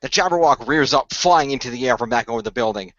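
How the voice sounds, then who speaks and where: A man improvising action narration for a radio play, haltingly and often repeating phrases as he goes. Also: mid-range voice, lively intonation, fairly bright tone, no room sound, lo-fi sound. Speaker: game-style narrator and player of a radio play.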